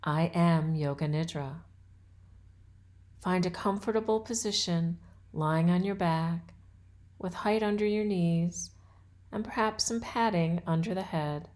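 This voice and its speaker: A woman guiding a yoga nidra relaxation as an instructor, with soothing, measured and deliberate phrasing. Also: fairly low pitch, a little mellow. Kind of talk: guided meditation and relaxation instruction.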